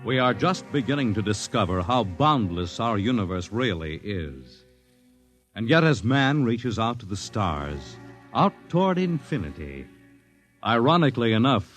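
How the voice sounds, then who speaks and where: A man's voice: medium pitch, big pitch swings, unhurried. Old-time radio announcer guy, big swooping delivery, faint music under. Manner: dramatic, declamatory. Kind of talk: 1950s radio show announcing.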